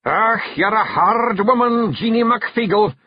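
Brief: male narrator putting on a tiny gruff Scottish fairy warrior, high-pitched, growling and proud, breathy edge. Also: slow pace, highs cut off, heavily acted. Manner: growling, blustering.

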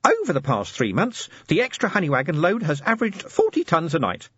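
A man voicing a tiny, eager, pedantic male imp in a very high, prim voice. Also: wildly swooping pitch, a little fast, fairly bright tone, breathy edge, no echo, highs cut off, full character voice. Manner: prim, fussy, very high-pitched.

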